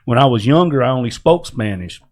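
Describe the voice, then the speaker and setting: Man, podcast host, relaxed, slangy, storytelling, mid-pitch voice. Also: a little fast. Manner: relaxed, slangy, keeps asking "you know what I'm saying". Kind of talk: casual podcast chat.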